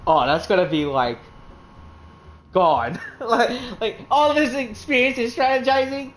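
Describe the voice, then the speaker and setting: A woman's voice: on the high side, lively intonation, unhurried, a little hiss or hum. A woman streaming a video game, rambling in casual commentary, swearing and laughing often.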